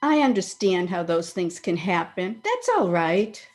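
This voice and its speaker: A woman's voice: fairly low pitch, big pitch swings, measured pace, darkish tone. A woman playing a wry, proud sweetheart in an old-time radio comedy, with a dry, pointed delivery. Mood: wry, hurt. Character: wry, proud woman being jilted, quietly cutting.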